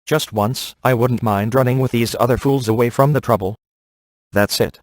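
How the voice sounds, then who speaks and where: Game role: man as grumbling everyman ghost hunter, mid-pitched, streetwise, closely recorded with no room sound. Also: fairly even intonation, normal speed, no echo, clean studio sound, natural voice. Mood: exasperated. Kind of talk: video game voice acting.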